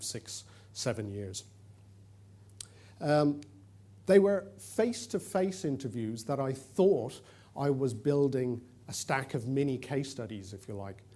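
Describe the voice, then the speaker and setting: A middle-aged Irish man speaks to a conference audience in a warm, mellow voice at an ordinary pitch. He rambles a little, joking about himself, with plenty of fillers and restarts. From Ireland. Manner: chatty and self-deprecating, with frequent fillers and restarts. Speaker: conference presenter. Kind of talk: conference talk.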